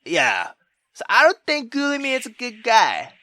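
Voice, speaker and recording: A woman's voice: on the high side, wildly swooping pitch, moderate pace, pushed and bright, no room sound. A woman playing a visual novel, giving casual, sweary reactions and hesitant thoughts aloud between stretches of reading. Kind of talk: casual reactive commentary and read-aloud narration.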